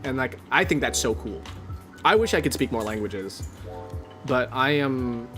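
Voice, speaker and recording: A man's voice: mid-range voice, animated, a little slow, pushed and bright, soft background music. A man from Canada with a General American accent, chatting casually on a stream and rambling with lots of "like". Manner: rambling, heavy use of "like".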